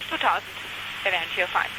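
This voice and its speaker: A man's voice: high-pitched, lively intonation, fast talker. A man, an airline pilot, reading back instructions in brief phrases over an aircraft radio. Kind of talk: rapid radio readbacks. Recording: noisy aircraft radio transmission.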